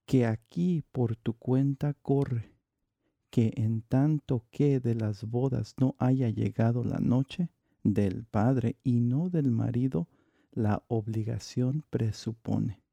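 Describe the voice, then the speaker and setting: A man's voice: mid-range voice, slow pace, creaky, dark tone, dry recording. A man reciting a dramatic monologue from a classical verse play. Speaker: monologue reader.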